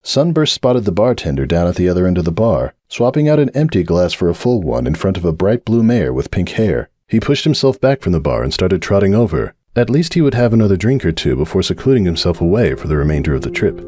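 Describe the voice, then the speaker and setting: A man narrating a dramatic audiobook reading in an expressive storytelling manner. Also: fast talker, hardly any pauses, no room sound, studio quality. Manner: expressive storytelling with shifting pitch.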